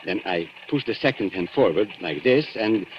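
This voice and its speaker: Male actor as sly gentleman dealer, formal, polished, theatrical, animated intonation, muffled vintage radio sound. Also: lowish voice, moderate pace, background noise, lightly acted. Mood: courteous, smooth. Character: urbane, courteous male London antique dealer, slyly wry. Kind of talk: 1940s radio acting, narration and dialogue. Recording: dull old radio broadcast with music.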